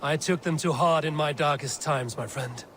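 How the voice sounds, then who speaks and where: An actor playing a measured, strategic Viking war leader in a video game, solemn and deliberate in archaic phrasing. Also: male voice, a little high, fairly bright tone, a little hiss or hum, close to an everyday voice. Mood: grave, counselling. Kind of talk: dramatic game cutscene acting. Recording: music behind the voice.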